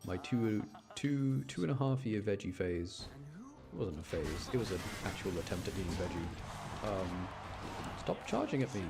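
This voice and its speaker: A man with a fairly low voice streams a video game with clear music behind him. He talks casually to chat in a rambling way, breaking off and restarting his thoughts as he plays. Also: lively intonation, measured pace. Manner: rambling, self-interrupting, mild grumbling. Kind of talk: casual live gameplay commentary to chat. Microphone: close streaming microphone.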